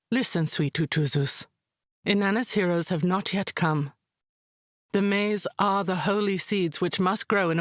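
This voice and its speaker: Calm, low-voiced wise high priestess, played by an actress. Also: female voice, no room sound, studio quality, close to an everyday voice. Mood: calm, firm. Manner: measured, deliberate, solemn. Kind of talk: measured mythic storytelling in a visual novel.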